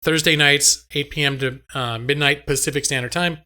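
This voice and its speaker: A slightly hoarse man streaming a game live, chatting casually and ramblingly to his viewers. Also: a little high, fairly even intonation, moderate pace, pushed and bright. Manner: rambling, conversational, addresses chat directly. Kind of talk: casual chat with viewers while gaming. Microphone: close microphone on a boom arm.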